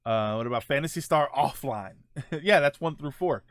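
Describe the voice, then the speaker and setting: A man's voice: on the high side, animated, moderate pace, no echo. A middle-aged man hosting a casual live stream, answering viewer questions in a rambling way with many fillers and restarts.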